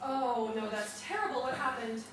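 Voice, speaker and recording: A woman plays an excitable, quarrelsome grown daughter in a stage farce. Her very high voice swoops widely with histrionic exclamations. She is heard from a distance in an echoey hall with an audience. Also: normal speed, slight background noise, full character voice. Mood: placating. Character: excitable, argumentative grown daughter longing to marry. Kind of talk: farcical stage comedy acting.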